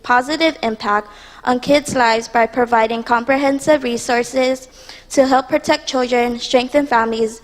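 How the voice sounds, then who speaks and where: A young woman from Hawaii giving an earnest student pitch read from her phone into a handheld mic. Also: fairly even intonation, moderate pace. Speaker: student presenter. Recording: long hall reverb.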